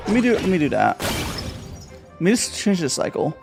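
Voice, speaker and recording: Gravelly, rambling game streamer. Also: medium pitch, sing-song, normal speed, over music. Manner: thinking aloud with many fillers and repeats. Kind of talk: casual live gameplay commentary.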